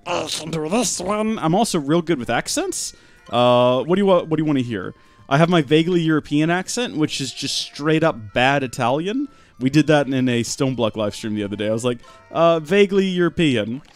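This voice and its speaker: A young man rambles through live game commentary with deadpan jokes. His pitch swings up and down a lot as he talks. Faint music plays underneath. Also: fairly quick. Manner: rambling deadpan joking, animated. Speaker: streamer.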